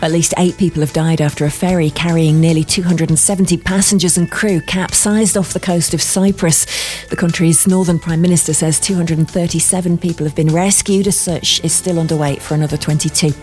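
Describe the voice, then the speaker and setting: Low-voiced female newsreader. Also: fairly even intonation, darkish tone, faint music behind. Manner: measured, formal. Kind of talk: news bulletin reading.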